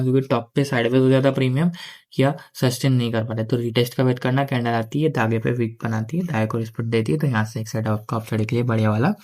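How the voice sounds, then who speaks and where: A man walks viewers through his next-day trading plan in a casual, conversational way, often adding "theek hai". He has a sore throat and speaks slowly because of it. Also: mid-range voice, a little mellow. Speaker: stock market analyst.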